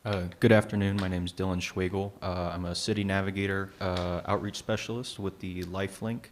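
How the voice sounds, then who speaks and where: A man's voice: low-pitched, level tone, a little fast, noisy background. A man who works in homeless outreach, speaking sincerely and a little hesitantly at a community town hall. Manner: sincere, hesitant, many fillers. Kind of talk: public comment at a town hall.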